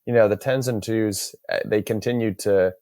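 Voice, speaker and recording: A man hosting a podcast, talking through market ideas in a measured, hedging way. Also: lowish voice, fairly even intonation, darkish tone, dry recording, studio quality. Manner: measured, hedging, thinks aloud. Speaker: podcast host. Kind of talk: casual market chat.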